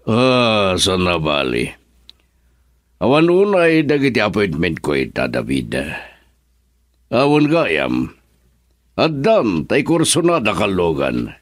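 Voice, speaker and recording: A man's voice: fairly low pitch, wildly swooping pitch, takes it slowly, long pauses, dry recording, studio quality, exaggerated voice. An actor playing a lovestruck, wistful businessman in an Ilocano radio drama. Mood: pleased. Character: lovestruck businessman wistfully pining for a lost love.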